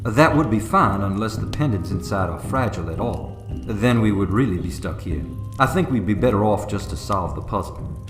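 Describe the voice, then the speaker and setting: A man playing a low-voiced, earnest preacher adventurer. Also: animated, lightly acted. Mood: careful, thoughtful. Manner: careful, measured, dryly exasperated. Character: earnest, cautious preacher adventurer, long-suffering and clever. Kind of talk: radio drama acting. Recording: roomy echo, music underneath. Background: music.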